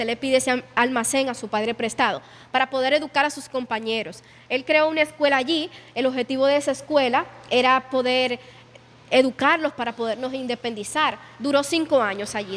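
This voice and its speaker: A woman's voice: high-pitched, a bit bright, in a large hall, slight background noise. A woman guiding a museum tour, explaining history and quoting dialogue. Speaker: museum guide. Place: indoor exhibition hall.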